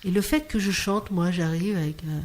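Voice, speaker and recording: A woman's voice: on the low side, normal speed, a little hiss or hum. A woman from Clermont-Ferrand in France speaking as an interview guest, telling anecdotes expressively and acting out dialogue. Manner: expressive storyteller, acts out quoted dialogue. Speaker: interview guest, singer working in hospitals. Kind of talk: animated anecdotal interview talk.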